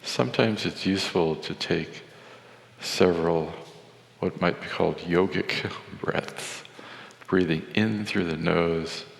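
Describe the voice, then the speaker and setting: A man leading a guided meditation in a measured, contemplative way, with deliberate pauses. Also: deep voice, vocal fry. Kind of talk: guided meditation with Sanskrit chanting. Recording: echoey hall with long reverb.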